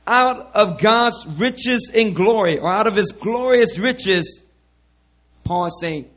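A man preaching to his church with a hoarse, worn-out voice, repeating hallelujah and calling out to the congregation over a handheld mic. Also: sits high, lively intonation, slow, a bit bright. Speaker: pastor. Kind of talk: sermon.

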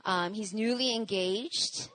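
A woman's voice: mid-range voice, slow pace, a bit bright, a little hiss or hum, rough recording. A woman introducing the guest speaker at a church, playful and upbeat, joking lightly.

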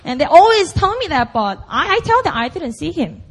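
A young woman preacher with a Korean accent and a high, bright-sounding voice. She talks to the congregation casually and with emphasis, tossing in "like" and "right?" between her points. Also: big pitch swings, fairly quick, a little hiss or hum. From Busan, South Korea. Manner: chatty and emphatic, full of "like" and "right?". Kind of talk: conversational sermon.

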